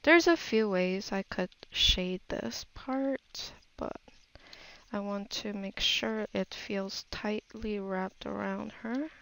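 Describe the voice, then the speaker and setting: A woman narrating a casual art tutorial, unhurried and musing aloud as she works. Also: medium pitch, creaky, fairly bright tone, clear, resonant tone, rough recording. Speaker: digital painting tutor.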